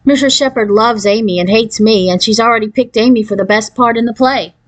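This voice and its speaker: Woman as a high, plaintive fifth-grade girl. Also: brisk, lightly acted, low-quality recording. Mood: upset, plaintive. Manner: plaintive, hesitant.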